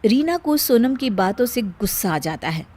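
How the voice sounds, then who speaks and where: A woman's voice: fairly high pitch, very animated, moderate pace, mellow. A woman narrating a scripted story in the role of storyteller.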